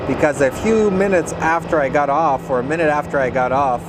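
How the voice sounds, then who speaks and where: A man from Bosnia chatting casually on a live plane-spotting stream, rambling with filler pauses, outdoors near an airport. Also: on the high side. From Bosnia (Serb, raised in Vojvodina area). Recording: loud outdoor airport noise.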